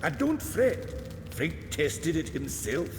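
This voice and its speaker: A man's voice: on the high side, very animated, slow, background noise. A man playing an eccentric, kindly old alchemist in a video game, lilting and theatrical. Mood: friendly, dotty. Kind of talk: video game character acting. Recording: echoey reverberant sound with music behind.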